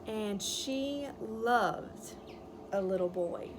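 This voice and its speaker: A woman teacher reading a picture book aloud to young children, pausing to explain words simply and ask questions. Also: mid-range voice. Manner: instructive, explains words simply, checks understanding. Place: outdoors. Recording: clearly noisy outdoor ambience, wind chime. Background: birds chirping, wind chime.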